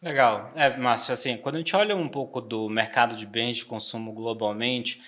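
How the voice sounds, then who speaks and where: Bahian guy in his 40s, accent kinda mixed with são paulo, relaxed business podcast chat, lots of "né", mid pitch. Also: moderate pace. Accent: Bahian Portuguese softened by São Paulo. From Bahia, Brazil. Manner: relaxed, frequent fillers and tag questions. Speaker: trade marketing director guest.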